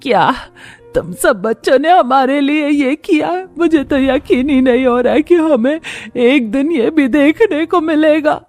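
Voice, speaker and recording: A woman's voice: high voice, unhurried, a little mellow, soft background music, pitched above the performer's own voice. A woman voicing an older mother-in-law, nosy yet sentimental, in a family story. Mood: moved.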